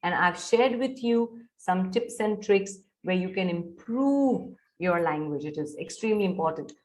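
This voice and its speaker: A middle-aged woman with an Indian English accent gives an online soft skills lecture to students. She coaxes them and keeps checking whether they are following. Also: fairly low pitch, animated, unhurried. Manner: coaxing, mixes Hindi into English, checks on students often. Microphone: video call.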